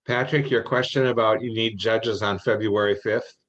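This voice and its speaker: A man's voice: fairly low pitch, steady tone, normal speed, fairly bright tone. A man talking informally in an online meeting, advising others, over dull call audio.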